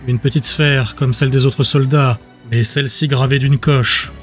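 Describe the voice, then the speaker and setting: A man narrating scripted fiction as an audiobook, with a measured, descriptive storytelling manner. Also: mid-range voice, fairly even intonation. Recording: music under the voice.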